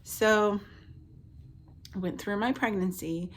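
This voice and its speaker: A woman in her late thirties telling a personal health story casually and haltingly, her voice scratchy from the tail end of a cold. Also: mid-range voice. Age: late 30s. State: getting over a cold with a lingering cough. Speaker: vlogger, stay-at-home mother.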